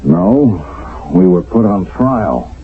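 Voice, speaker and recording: Deep-voiced, grim army sergeant. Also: male voice, sing-song, extremely slow, slightly rough, exaggerated voice. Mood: grave, wry. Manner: grave, plain-spoken, emphatic. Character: grim, world-weary army sergeant reporting from combat. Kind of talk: radio drama acting. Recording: dull old radio recording.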